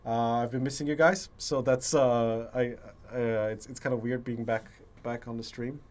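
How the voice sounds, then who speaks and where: A man hosting a casual livestream chat, talking haltingly with many fillers and restarts, his voice strained and deeper from a long illness. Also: fairly even intonation. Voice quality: deeper, rough voice. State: voice strained from a long lung infection. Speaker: livestream host.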